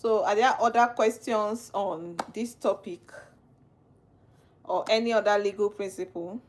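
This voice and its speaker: A woman's voice: medium pitch, fairly even intonation, unhurried. A woman with a Nigerian accent, a legal practitioner hosting a live stream, reading out viewer comments and questioning them in a teasing way. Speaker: livestream host, legal practitioner.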